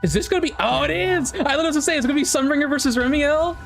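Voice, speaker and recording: A gaming content creator giving casual live reaction commentary, excitable and exclamatory, swearing freely. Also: high voice, racing, vocal fry. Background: trailer music behind the voice.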